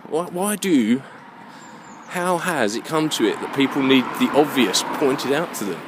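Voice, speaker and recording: A man's voice: mid-range voice, lively intonation, unhurried, background noise. A British man giving a rambling, opinionated monologue, emphatic and fond of rhetorical questions. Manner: emphatic, rhetorical questions, checks listener understands. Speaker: vlogger.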